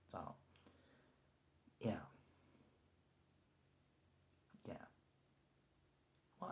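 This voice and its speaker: Mellow, creaky-voiced nervous agent played by a man. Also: fairly low pitch, slight background noise. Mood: resigned, earnest. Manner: halting, hesitant, polite. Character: nervous, conciliatory male agent of a secret organization negotiating with a creature. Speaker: ASMR roleplay performer. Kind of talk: ASMR roleplay, improvised one-sided conversation. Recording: roomy, echoing lo-fi sound.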